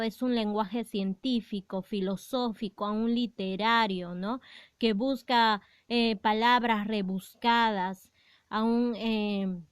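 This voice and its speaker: A woman teaching a grammar lesson in a patient, explanatory way. Her voice is fairly high and clear, recorded close and dry with no room sound. She often pauses on fillers and asks the class questions. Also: no echo.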